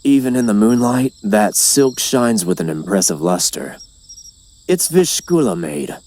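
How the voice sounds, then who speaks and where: A man's voice: on the low side, animated, unhurried, a little mellow, low-quality recording. A man playing a confident, roguish chief of traveling merchants in an anime dub, teasing and persuasive like a showman. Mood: sly, appraising. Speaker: voice actor in an anime fan dub. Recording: music behind the voice. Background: music.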